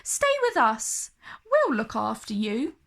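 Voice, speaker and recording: A woman's voice: on the high side, wildly swooping pitch, slow, fairly bright tone, heavily acted. A woman voicing kindly, bustling little male dwarfs in a children's storybook, with an eager manner. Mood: concerned, kindly.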